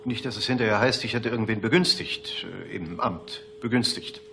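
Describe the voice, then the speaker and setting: A man's voice: lowish voice, fairly quick, sharp tone, slight background noise. An actor playing a pompous, touchy police director in a radio play, officious and indignant in his delivery. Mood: indignant, evasive. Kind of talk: German radio play acting.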